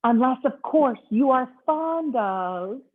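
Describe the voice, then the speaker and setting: A woman's voice: high-pitched, lively intonation, takes it slowly, pitched above the performer's own voice, full character voice. A woman playing a courteous sing-song bellhop. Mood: polite. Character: polite, accommodating male hotel bellhop. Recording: tinny phone-like audio.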